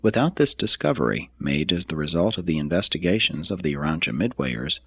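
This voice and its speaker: A man reading a religious text as scripted narration, in a measured, solemn and formal manner. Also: rumbling low, very animated, fairly bright tone, slightly rough, no room sound. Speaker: reader.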